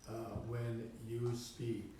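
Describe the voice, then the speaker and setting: A man whose first language is Hungarian asks a hesitant question, pausing often with fillers. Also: medium pitch, flat delivery. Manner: hesitant, many pauses and fillers. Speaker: student asking a question. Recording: distant, very echoey with faint noise.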